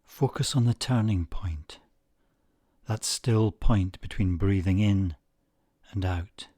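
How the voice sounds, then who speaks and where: A man narrating a guided meditation in a measured way, leaving long pauses between short phrases. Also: sits low, mellow, dry recording, clean studio sound.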